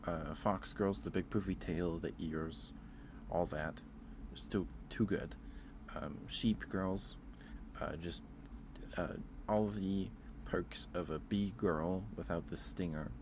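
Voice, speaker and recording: A man streaming casual ASMR chat, talking haltingly, often repeating words and trailing off. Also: sits low, steady tone, background noise. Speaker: streamer hosting a ranking.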